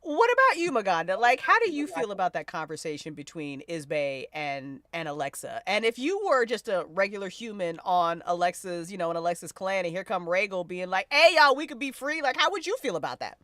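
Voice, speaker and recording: Female host, casual moderator style, animated melody, dry close sound. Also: medium pitch, fairly quick, hardly any pauses, dry recording. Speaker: host moderating a podcast listen event. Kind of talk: casual chat, interviewing panelists.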